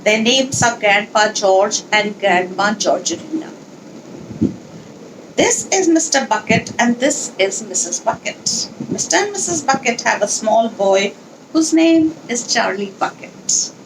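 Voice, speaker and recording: A woman leading a children's read-along, speaking in an instructional, deliberate, explanatory way with pauses. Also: fairly high pitch, lively intonation, noisy background. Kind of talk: instructional talk and storybook read-aloud.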